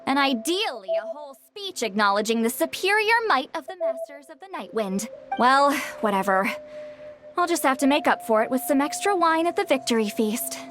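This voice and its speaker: A woman's voice: high voice, sing-song, moderate pace, fairly bright tone. A woman voicing a cocky young warrior with a smug, breezy way of talking. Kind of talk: video game voice acting. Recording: game dialogue over music.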